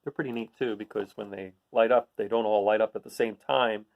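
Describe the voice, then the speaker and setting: A man's voice: fairly low pitch, steady tone, fairly quick, creaky, narrow, tinny audio. A man hosting a read-aloud, reading a children's picture book and adding casual, explanatory asides for young listeners.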